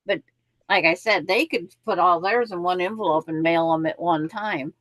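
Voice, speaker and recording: A woman's voice: lowish voice, normal speed, fairly bright tone, dry recording. A woman hosting a quilting show, chatting in a rambling way and explaining how the block pieces fit together. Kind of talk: casual live chat and craft instruction.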